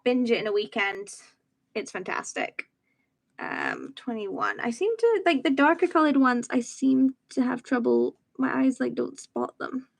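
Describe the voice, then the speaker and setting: Young woman host, soft Edinburgh accent, slightly higher voice, rambling chatty livestream talk with fillers. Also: animated, unhurried, gravelly. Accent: soft Edinburgh Scottish, somewhat posh-sounding. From Edinburgh, Scotland. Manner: chatty, rambling, laughs easily, many "um"s and "like"s. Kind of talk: casual chat while crafting.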